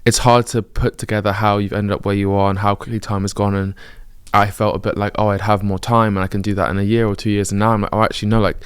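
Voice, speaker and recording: British guy about thirty rambling honestly about life, quick talker, lowish smooth voice, dry close mic. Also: flat delivery, dry recording. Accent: British English. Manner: candid, rambling, self-correcting. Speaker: vlogger. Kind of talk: reflective personal monologue.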